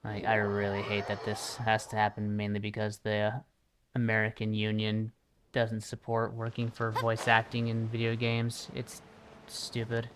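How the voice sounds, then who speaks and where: A man commentating casually on a game livestream in a low-key way, pausing and thinking aloud. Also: monotone, background noise. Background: game music behind the voice.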